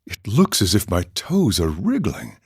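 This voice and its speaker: Low voiced eccentric monk for a game, serene n philosophical, pitch moves around a lot. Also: male voice, huge pitch swings, husky, heavily acted. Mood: wry, musing. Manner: measured, philosophical, formal phrasing. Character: serene, eccentric ascetic drug-taking monk who relishes suffering. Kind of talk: scripted game character dialogue.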